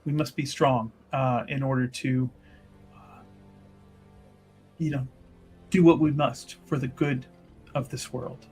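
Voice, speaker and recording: A man voicing a solemn, devout religious sister in a fantasy tabletop game, speaking gravely and formally. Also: medium pitch, steady tone, takes it slowly, pauses often, darkish tone, soft background music, close to an everyday voice. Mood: solemn, encouraging. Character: solemn, devout chantry sister leading others in prayer. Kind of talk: in-character tabletop roleplay.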